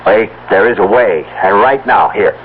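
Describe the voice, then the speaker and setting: An actor playing an excitable, stubborn farmer with plain, urgent speech in a 1970s radio drama. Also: male voice, fairly low pitch, moderate pace, lightly acted. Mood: excited, urgent. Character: excitable, stubborn farmer husband, convinced of miracle cures. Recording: tinny, band-limited old radio broadcast, noisy.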